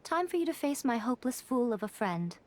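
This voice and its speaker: A woman voicing a sly, elegant fox shrine priestess in an anime game, speaking in a silky, coy, measured and teasing manner. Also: fairly high pitch, big pitch swings, a little hiss or hum. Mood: wry. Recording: music behind the voice.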